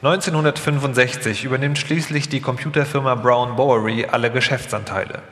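A man's voice: medium pitch, steady tone, brisk, a bit bright. Male documentary narrator in echoey hall. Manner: formal, factual. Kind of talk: scripted documentary narration, performed live. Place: large hall, live stage reading. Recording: echoey hall, long reverb.

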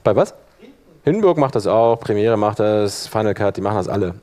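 A man presenting a live workshop, explaining software in a colloquial, hands-on way. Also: lowish voice, lively intonation, a little fast. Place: live workshop in front of attendees.

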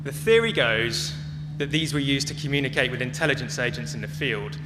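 A man's voice: medium pitch, a little fast. A man speaking as a conference presenter, explaining things in a prepared public talk. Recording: echoey hall with clear hum and noise.

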